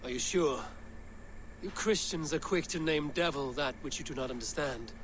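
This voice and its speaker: An actor playing a stern, wary Viking warrior in a video game, speaking in a measured, blunt way. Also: male voice, a little high, lightly acted. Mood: probing, firm. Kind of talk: video game dramatic acting. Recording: clear background noise and ambience.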